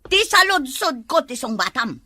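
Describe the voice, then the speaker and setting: A woman playing a shrill, nagging wife. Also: very animated, moderate pace, a little hiss or hum, exaggerated voice. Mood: scolding. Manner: shouting, scolding. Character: loud nagging wife who won't bathe. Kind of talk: comedy web drama acting.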